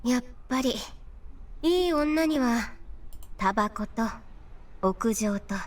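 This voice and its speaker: Woman acting a high-voiced, cool game heroine, distant. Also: expressive, moderate pace, natural voice. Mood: cool musing. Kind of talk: Japanese visual novel voice acting.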